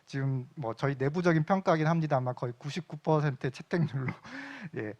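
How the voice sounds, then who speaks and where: A middle-aged man giving an invited lecture at a conference, relaxed and conversational, with frequent fillers. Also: on the high side, fairly quick, muffled recording. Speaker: invited speaker. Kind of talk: conference talk. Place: lecture venue.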